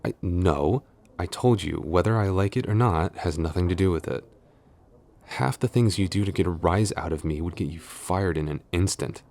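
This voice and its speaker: A man's voice: sits low, normal speed, darkish tone. A man playing a flustered tsundere boyfriend and coworker, grumbling but smitten, in an intimate, hesitant, mock-scolding ASMR audio roleplay. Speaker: voice actor.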